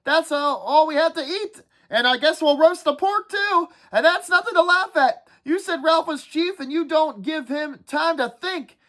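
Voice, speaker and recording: A woman reading a book aloud and voicing a whiny, anxious boy with glasses in a high, complaining voice. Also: normal speed, hardly any pauses, a bit bright. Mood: scolding. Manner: shrill, complaining, frantic. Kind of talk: storybook read-aloud.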